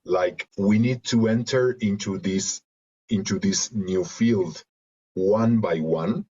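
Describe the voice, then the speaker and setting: A man from Argentina with a Spanish accent, reflecting in an interview in halting, searching phrases over a video call. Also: on the low side, darkish tone, husky. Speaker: interview guest, creative and therapeutic facilitator. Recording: dull lo-fi video call audio.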